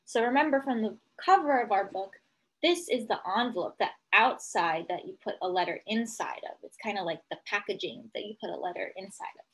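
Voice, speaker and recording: A woman teacher reading a picture book to young children, explaining it as she goes and thinking aloud in an animated way. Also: medium pitch, a little fast, breathy edge, rough recording.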